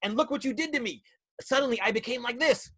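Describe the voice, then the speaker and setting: A man's voice: extremely high pitch, quick pace, a bit bright, pitched above the performer's own voice. Man doing a desperate wounded soldier. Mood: urgent.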